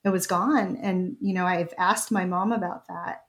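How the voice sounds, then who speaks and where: A woman's voice: lowish voice, steady tone, normal speed. A woman in her thirties, the guest host of a podcast, talking in an affirming, conversational way with frequent fillers. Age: 30s. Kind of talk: casual conversation.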